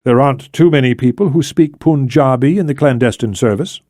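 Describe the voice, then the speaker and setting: A man's voice: mid-range voice, brisk, dark tone, no room sound, studio quality, natural voice. A man voicing a determined, defensive woman intelligence operative in an audiobook, speaking insistently and emphatically. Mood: firm. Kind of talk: audiobook character dialogue.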